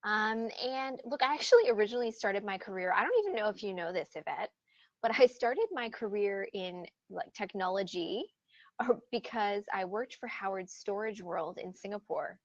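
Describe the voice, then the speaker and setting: A woman with an American accent, calling in as a guest and explaining things in a relaxed, conversational way. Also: medium pitch, normal speed, dry recording. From upstate New York. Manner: relaxed, conversational, frequent ums and "you know". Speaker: guest speaker. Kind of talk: conversational interview answer. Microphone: call-in line. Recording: dull dry remote call line.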